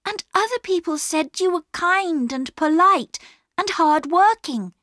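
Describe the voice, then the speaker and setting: Storybook role: kind, earnest little girl, eager and very high , acted by a woman, lively pitch. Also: measured pace, dry recording, clean studio sound, heavily acted. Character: earnest, kind-hearted young girl pleading. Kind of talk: storybook character reading.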